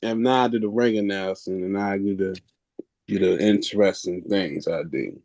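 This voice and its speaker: A man co-hosting a wrestling podcast in casual chat, with a smooth, laid-back way of talking. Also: low-pitched, moderate pace, darkish tone, dry recording. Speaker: podcast co-host, commentator.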